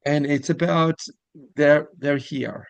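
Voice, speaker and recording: A man with a somewhat high, gravelly voice, recorded close and dry. He hosts a conversational interview and tends to ramble, doubling back within his sentences. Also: steady tone, slow pace, no echo, highs cut off. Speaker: podcast host interviewer.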